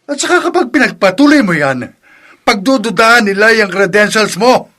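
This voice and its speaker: A man's voice: sits high, lively intonation, normal speed, pushed and bright, heavily acted. A man acting a stern father scolding his son in a family drama, with a Filipino accent. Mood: angry, threatening. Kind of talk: acted family drama dialogue.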